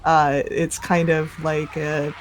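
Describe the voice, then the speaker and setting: Podcast guest, medium-pitched, informal, thinking aloud as they talk. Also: flat delivery, slow, a little hiss or hum. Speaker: podcast guest who plays games for an online audience. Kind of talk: casual, hesitant chat about games.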